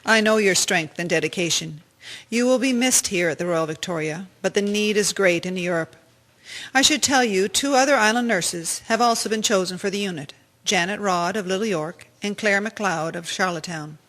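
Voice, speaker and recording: A woman playing an authoritative hospital matron in a radio drama, speaking in a formal, measured way. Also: mid-range voice, fairly bright tone, lightly acted. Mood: composed, formal.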